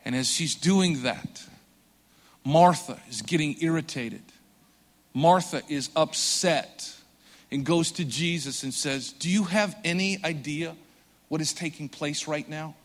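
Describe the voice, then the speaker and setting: A man preaching a sermon with earnest conviction, swelling loud for emphasis and dropping soft and slow. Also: a little high. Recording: long hall reverb.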